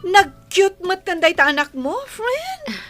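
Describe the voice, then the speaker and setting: A woman's voice: very high-pitched, sing-song, slow pace, exaggerated voice. A woman playing a gossipy, disapproving but sympathetic village confidante in a radio comedy drama. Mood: teasing, sympathetic.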